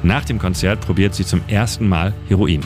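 A man's voice: deep voice, steady tone, fairly quick. A man reading a scripted radio history piece as a storyteller, recorded in a studio with music behind him. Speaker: radio editor and city guide presenting a history segment. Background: music bed with guitar.

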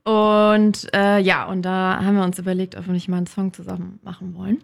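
A woman musician chatting as a radio guest, hesitant and pausing mid-thought. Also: medium pitch, level tone, vocal fry. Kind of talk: casual radio interview. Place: radio studio.

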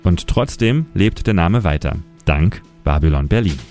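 A man's voice: low voice, animated, measured pace, dark tone, soft background music. A man presenting as a city guide, narrating a scripted historical story for radio.

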